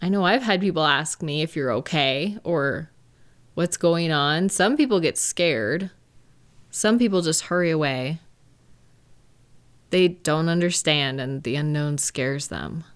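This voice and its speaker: A gentle live-in partner played by a woman speaks in a low-pitched voice. She is tender and reassuring, taking her time as if in a private one-sided conversation. It sounds like an intimate comfort roleplay audio. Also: a little slow. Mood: sympathetic, soft.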